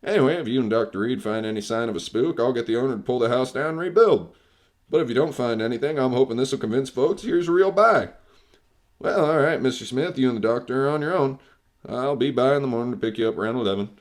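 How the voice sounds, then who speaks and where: Male actor as skeptical property agent, plain folksy talker, mid-pitched, businesslike, radio play storytelling. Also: fast, natural voice. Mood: dismissive, businesslike. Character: matter-of-fact male real estate agent, dismissive of ghosts.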